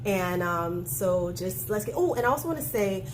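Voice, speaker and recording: A woman's voice: mid-range voice, moderate pace, a little hiss or hum, rough recording. A woman private chef, sounding nasal from a head cold, chatting casually with lots of ums as she walks through a recipe in her kitchen on a handheld camera. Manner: chatty, relaxed, many ums. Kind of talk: home cooking demonstration. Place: home kitchen. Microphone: handheld phone camera.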